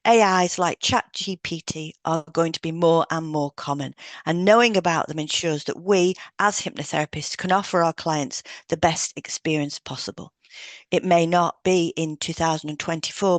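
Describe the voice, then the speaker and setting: Gently creaky woman, measured and soothing. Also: deep voice, dry recording. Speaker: podcast co-host and hypnotherapist. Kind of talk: guided relaxation script reading and scripted podcast discussion.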